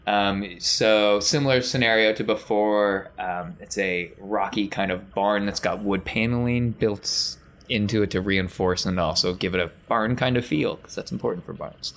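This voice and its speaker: A man's voice: lowish voice, moderate pace, few pauses, fairly bright tone. A man working as game master, casually improvising scene narration for a tabletop game.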